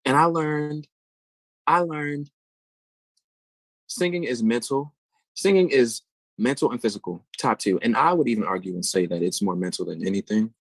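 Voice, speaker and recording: A man's voice: medium pitch, normal speed, pauses often, no room sound, low-quality recording. A man, a singer answering interview questions and explaining vocal technique thoughtfully and at length. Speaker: guest singer.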